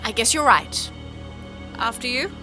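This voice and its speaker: A woman's voice: on the high side, lively intonation, unhurried, sharp tone, soft background music. A woman playing an earnest, hesitant, self-doubting young princess in a fantasy audio drama. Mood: anxious.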